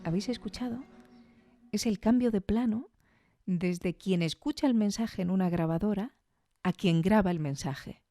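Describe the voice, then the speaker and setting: A woman's voice: medium pitch, moderate pace, warm tone, no room sound, soft background music. A woman with a Spain Spanish accent hosting a podcast, reviewing audio fiction in an informal, reflective, conversational way. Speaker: podcast host.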